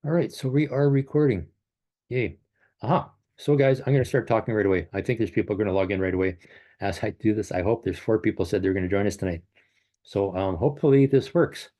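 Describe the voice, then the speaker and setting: A man's voice: fairly low pitch, brisk, mellow. A man in his sixties, a running coach and race director, chatting casually to a virtual clinic over a computer call. He rambles, corrects himself as he goes and drops in upbeat little asides. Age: 60s. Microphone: computer video call.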